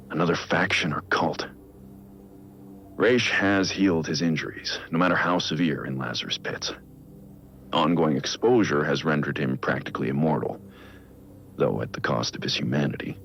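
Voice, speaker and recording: An actor playing a brooding, guilt-ridden middle-aged vigilante who records grave, measured, introspective audio logs in a video game. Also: male voice, fairly bright tone, exaggerated voice. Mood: analytical, somber. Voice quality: deep, gravelly. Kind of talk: scripted audio log narration, video game acting. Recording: muffled lo-fi audio log, music underneath. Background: music.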